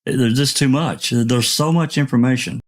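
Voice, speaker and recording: A man's voice: medium pitch, moderate pace. A man hosting a casual discussion in a folksy, hesitant way, repeating himself for emphasis.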